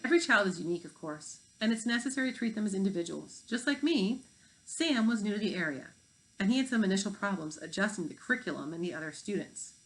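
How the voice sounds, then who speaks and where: A woman's voice: mid-range voice, expressive, close to an everyday voice, rough recording. Woman reading as an orderly schoolteacher. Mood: explaining. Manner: measured, instructive. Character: orderly, instructive fifth-grade woman teacher.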